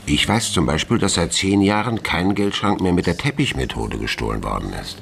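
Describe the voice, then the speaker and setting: A wily older police commissioner on the brink of retirement for a radio crime play. His voice is extremely low and full of gravel. He sounds self-assured and commanding, with animated shifts of pitch. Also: a little fast, exaggerated voice. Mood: sly, assured.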